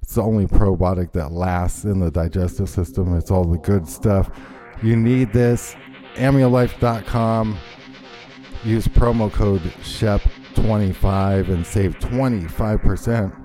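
Man, podcast host, low voice, swearing, rambling political rant. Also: steady tone, a little slow, dark tone, faint music behind. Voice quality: profane, rambling. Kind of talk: heated political chat.

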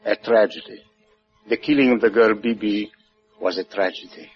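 An actor playing an earnest, courteous revolutionary with a Spanish accent, formal and solemn, in 1950s radio drama style. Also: male voice, fairly low pitch, soft background music, close to an everyday voice. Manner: formal, deliberate, polite. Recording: muffled old 1950s radio recording.

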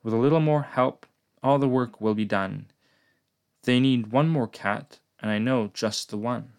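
A man's voice: mid-range voice, a little slow, a little mellow, smooth, close to an everyday voice. A man reading aloud as a mischievous, bossy cat in a hat, in a showy storybook delivery. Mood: boastful.

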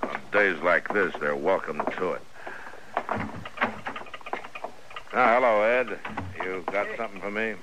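Actor as very deep-voiced, laconic frontier marshal. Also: male voice, moderate pace, lightly acted. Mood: casual. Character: stern, laconic frontier marshal, protective and unhurried. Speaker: actor in a radio western. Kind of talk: 1950s radio drama acting. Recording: noisy, crackly old radio recording.

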